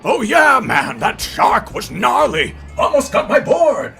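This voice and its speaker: A man's voice: very high voice, big pitch swings, takes it slowly, gravelly, pushed and bright, breathy edge, soft background music, voice pushed up, exaggerated voice. A man voicing a goofy, gushing alien surfer dude for an audio story, in exclamatory slang. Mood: stoked, carefree. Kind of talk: audio fiction character acting.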